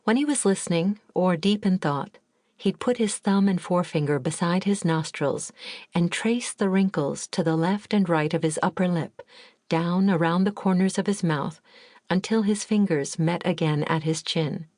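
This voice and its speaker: A woman narrating a memoir in the first person, with a measured, reflective reading. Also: fairly low pitch, darkish tone, no echo, clean studio sound. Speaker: audiobook narrator.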